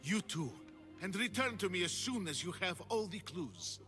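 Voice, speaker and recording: Game role: effusive sculptor, high bright voice, swooping delivery. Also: male voice, very animated, normal speed, faint music behind, full character voice. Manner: theatrical, effusive, flowery. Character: excitable, flowery male artist of ancient Greece. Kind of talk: video game cutscene acting.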